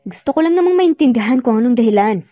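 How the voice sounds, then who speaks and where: A woman's voice: fairly high pitch, animated, fast talker, mellow, dry recording, dull audio, faint music behind, close to an everyday voice. A woman voicing a frustrated man, an earnest and insistent former lover pleading in an audio love story.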